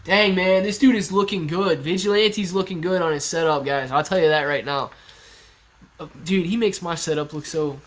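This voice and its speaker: A man in his early thirties with a somewhat high voice, hosting a relaxed live stream from his living room. He reads the chat aloud in a laid-back, chatty way and laughs easily. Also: normal speed. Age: 30s. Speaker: stock livestream host. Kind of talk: casual live-stream chat reading viewer comments. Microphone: laptop on a kitchen stool at eye level.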